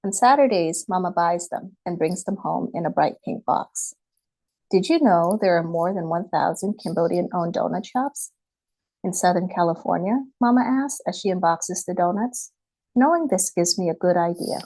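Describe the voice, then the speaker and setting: A woman author speaks in a slightly low voice, close and dry with very little room sound. She is presenting and reading her picture book on a video call, with a polite manner. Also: moderate pace, a little mellow, no room sound, poor audio. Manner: polite, apologetic about screen sharing. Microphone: computer mic on a video call.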